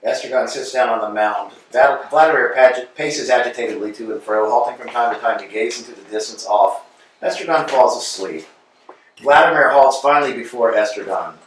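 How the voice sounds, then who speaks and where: Stage-direction reader, male, plain measured delivery; distant, roomy recording with a faint hiss. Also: mid-range voice. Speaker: narrator reading stage directions. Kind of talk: reading stage directions aloud. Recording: echoey room from far off, faint noise.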